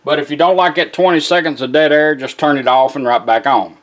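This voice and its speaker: A man with a Southern US accent giving a casual, plain-spoken, unhurried product demonstration as a radio restorer. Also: on the high side.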